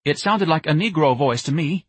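Jittery, meek office manager for a storybook, pitched way up into a shrill pipe. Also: male voice, animated, moderate pace, breathy edge, no room sound. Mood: flustered. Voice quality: shrill, piping. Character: timid squeaky male office manager, nervous and eager to please.

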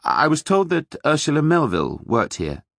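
A man's voice: a little high, huge pitch swings, normal speed, vocal fry, a bit bright, no room sound, clean studio sound, voice pushed up, exaggerated voice. A man voicing an elderly, polite and hesitant male investigator in an audiobook. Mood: polite, probing.

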